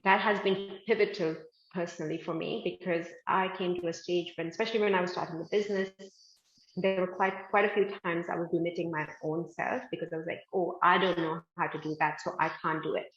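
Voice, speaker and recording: A woman's voice: on the low side, fairly even intonation, brisk, roomy sound, muffled recording. A woman from India, a business founder, talking casually in an interview with lots of "like" fillers. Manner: chatty, many "like" fillers, upbeat. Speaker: guest, fashion manufacturing founder.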